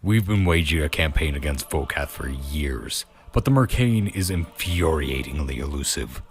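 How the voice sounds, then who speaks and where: A man's voice: a bass voice, animated, moderate pace, soft background music. A man playing a stern, authoritative imperial official from space fantasy, speaking formally and resolutely. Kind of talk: dramatic character speech.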